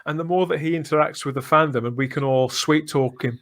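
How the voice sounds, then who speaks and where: A man's voice: fairly high pitch, fairly even intonation, a little fast, a bit bright. A man hosting a podcast in casual discussion, talking enthusiastically and discursively. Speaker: podcast host.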